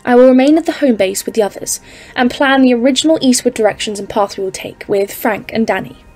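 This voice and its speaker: A woman playing a cautious, stern geologist leading an expedition in an audio drama, speaking in a formal, measured, literary way. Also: on the high side, a little mellow, soft background music, lightly acted. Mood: firm, disapproving. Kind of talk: dramatised narration in an audio play.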